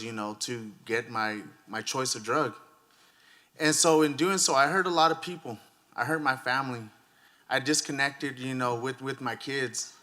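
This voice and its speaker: A man in recovery answering a panel question in a candid, halting way, full of fillers. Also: medium pitch, sharp tone. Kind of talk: panel answer at a public forum.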